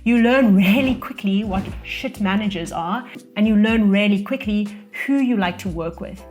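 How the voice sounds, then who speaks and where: A woman's voice: medium pitch, moderate pace, faint music behind. A young South African woman talking casually to camera, giving career advice from her own experience. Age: young adult. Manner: relaxed, conversational, speaks from own experience. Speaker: vlogger sharing career advice. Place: indoor room, losing daylight.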